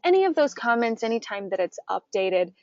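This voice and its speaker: A woman's voice: a little high, very animated, a little fast, lo-fi sound. An American woman hosting a webinar, guiding a demonstration and prompting her guest with encouraging questions. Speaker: webinar host. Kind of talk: interview and guided software demonstration.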